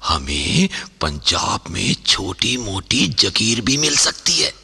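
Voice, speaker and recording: A man's voice: lowish voice, very animated, slow, creaky, sharp tone, husky, big-room sound, full character voice. An actor playing an emotional old Sikh father in a Hindi radio play, imploring and exclaiming with a Punjabi accent. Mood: grave pleading. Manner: imploring, exclamatory, repeats himself.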